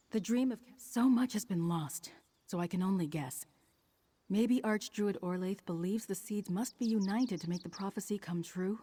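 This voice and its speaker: An actress playing a learned, earnest druid lorekeeper in a video game, explaining in a measured, guarded way. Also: female voice, mid-range voice, dark tone, close to an everyday voice. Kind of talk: video game dialogue acting.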